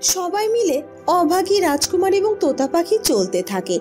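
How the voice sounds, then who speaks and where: Female cartoon narrator: high-pitched, scripted storytelling delivery, music underneath. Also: big pitch swings, normal speed, darkish tone.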